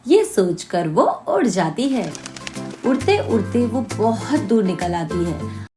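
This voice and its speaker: Woman narrator, children's story, animated intonation, medium pitch, clear music behind. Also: slow.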